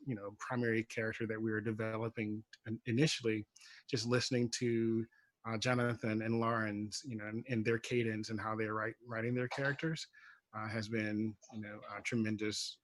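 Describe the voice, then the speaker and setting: A man with a fairly low, even-toned voice speaks on a panel. The sound is dry, and he is reflective, feeling his way through halting phrases. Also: no echo. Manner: halting, searching for words, reflective. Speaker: playwright, panel guest. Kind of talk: unscripted panel discussion.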